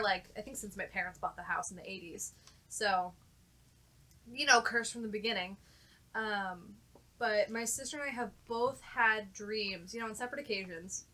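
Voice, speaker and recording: Bright-voiced woman chatting frankly. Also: mid-range voice, low-quality recording. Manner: frank, casually sweary. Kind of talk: casual chat and personal storytelling.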